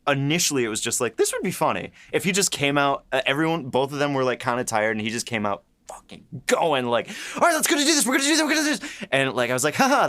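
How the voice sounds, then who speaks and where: A man co-hosting a casual behind-the-scenes discussion, talking in a halting way with repeated words and many filler phrases. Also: mid-range voice, fairly bright tone. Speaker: writer and podcast co-host.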